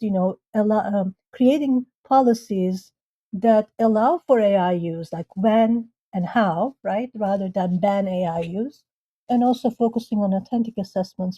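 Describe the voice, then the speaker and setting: A woman's voice: medium pitch, mellow, low-quality recording. A woman from Turkey, a university leader and guest, answering thoughtfully with occasional restarts. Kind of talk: panel discussion answer.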